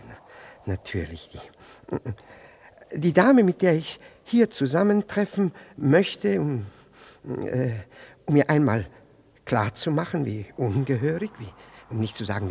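An actor playing a flustered, over-polite jealous husband in a 1960s German radio play, stammering, repeating words and breaking off mid-sentence. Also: male voice, medium pitch, creaky, dark tone, husky, exaggerated voice. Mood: embarrassed, indignant.

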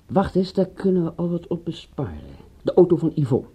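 High, husky, urbane male associate. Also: wildly swooping pitch, brisk, warm tone, full character voice. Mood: thrifty, musing. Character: thrifty, sceptical male associate of a detective. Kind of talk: radio drama acting. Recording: dull, muffled old radio recording.

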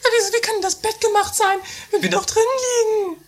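A woman's voice: very high-pitched, steady tone, a little fast. A woman playing a scatterbrained, dramatic castle-dwelling sister in a comedy radio play, naive and theatrical. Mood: excitable, naive.

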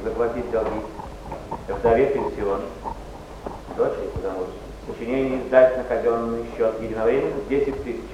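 Man acting a formal 19th-century official, cool theatrical recitation, mid pitch, muffled, very echoey. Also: steady tone, fairly quick, close to an everyday voice. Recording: very echoey, distant, muffled noisy stage.

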